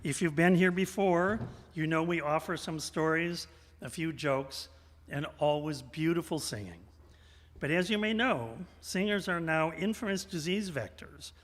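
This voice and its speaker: A man with a slightly high voice hosts a live radio theater show. He is folksy and tongue-in-cheek, leaning theatrically on his words, and his voice rings out in a reverberant church sanctuary. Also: normal speed. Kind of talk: live stage hosting, storytelling. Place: large church sanctuary, indoors.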